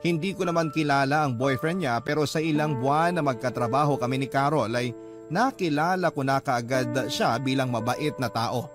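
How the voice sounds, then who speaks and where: A man's voice: medium pitch, moderate pace, over music, lightly acted. A man playing a lovestruck young office worker, narrating his story earnestly in a sentimental radio-drama style. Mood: earnest, sympathetic.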